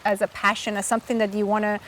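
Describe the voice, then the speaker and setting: A woman hosting a cooking chat outdoors, full of quick, eager praise for the food. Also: mid-range voice, little pitch movement, a bit bright, a little hiss or hum. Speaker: host. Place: outdoors at a villa table.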